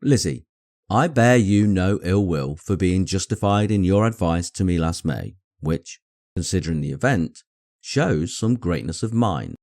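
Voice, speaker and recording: A man voicing a dry, sardonic middle-aged English gentleman and father in an audiobook, with a wry, deadpan manner. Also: low voice, a little slow, no room sound. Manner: deadpan, wry, mock-stern. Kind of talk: acted dialogue in audiobook reading.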